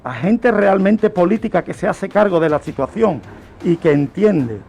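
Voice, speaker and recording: High-pitched man telling history with emphasis. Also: expressive, moderate pace, gravelly, a little mellow, husky. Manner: emphatic, rhetorical questions and repetitions. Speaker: radio presenter of a history and criminology segment. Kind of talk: storytelling lecture on radio.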